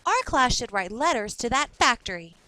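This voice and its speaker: A woman's voice: on the high side, sing-song, moderate pace, pushed and bright, dry recording. A woman reading the part of an earnest, determined schoolgirl in a storybook, with eager emphasis.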